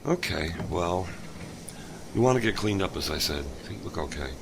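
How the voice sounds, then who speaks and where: A man narrating and playing a calm, plainspoken delivery driver in an amateur audio drama. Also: low voice, moderate pace, creaky, noisy background. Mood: kindly.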